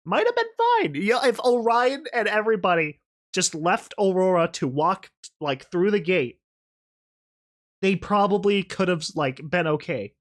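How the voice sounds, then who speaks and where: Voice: mid-range voice, animated, a little slow. A narrator reading a story expressively and reacting with casual commentary, often repeating phrases for emphasis.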